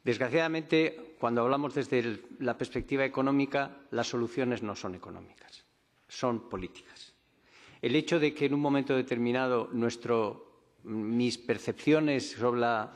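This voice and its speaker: A man speaking as a conference panelist, deliberate and often correcting himself mid-phrase. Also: mid-range voice. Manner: deliberate, with frequent restarts and self-corrections. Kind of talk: formal conference remarks. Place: large conference hall. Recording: reverberant conference hall.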